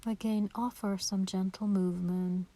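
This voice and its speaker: A woman guides a meditation in a soft and breathy voice. Her tone is warm, relaxed and dark, with nothing sharp in it. She gives gentle, soothing instructions and leaves space between phrases. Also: medium pitch. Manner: gentle, slow and soothing, with pauses.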